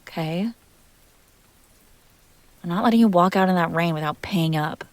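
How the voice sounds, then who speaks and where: A woman playing a jealous, possessive and fiercely protective young woman in an intimate roleplay audio drama, speaking close to the mic in emphatic, halting bursts. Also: on the low side, dry recording, close to an everyday voice. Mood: tender, confessing. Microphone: close microphone, dry sound.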